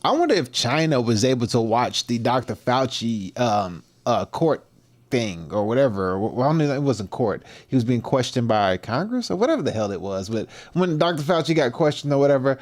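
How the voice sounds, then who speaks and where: A man's voice: mid-range voice, sing-song, moderate pace. A man hosting a livestream, reacting with loose, speculative commentary full of fillers, restarts and slang. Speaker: livestream host reacting to a video. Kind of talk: casual rambling commentary.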